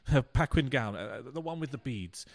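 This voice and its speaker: A man's voice: medium pitch, lively intonation, normal speed, vocal fry. Guy doing a pompous husband in a radio play, florid and sorry for himself, voice goes up and down a lot. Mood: lovelorn, self-pitying. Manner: earnest, florid, grandiose. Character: pompous, self-pitying, self-deceiving philandering husband. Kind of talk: stage-style radio play acting.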